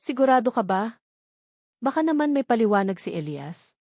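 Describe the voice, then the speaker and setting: A woman's voice: fairly high pitch, big pitch swings, moderate pace, a little mellow, no room sound, studio quality. A woman voicing a loyal, concerned female best friend, questioning and exclaiming in a storybook drama. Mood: worried. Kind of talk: dramatic audio storybook dialogue.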